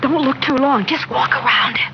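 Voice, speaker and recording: A woman's voice: high-pitched, normal speed. A woman acting in a classic radio drama as an emotional, earnest woman, with dramatic delivery. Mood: urgent, pleading. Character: emotional woman pleading with a troubled man. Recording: muffled, noisy old radio recording.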